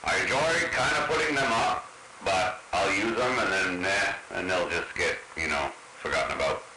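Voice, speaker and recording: A man with a lowish voice talks casually over CB radio. His voice comes through with marked echo. The transmission is extremely noisy. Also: moderate pace. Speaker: CB radio operator.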